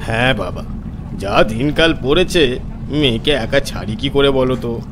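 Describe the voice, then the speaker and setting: A man playing a chatty, nosy old grandfather in an animated ghost story, friendly and folksy in manner. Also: mid-range voice, normal speed, background noise, close to an everyday voice. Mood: genial, nosy. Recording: music bed under voice.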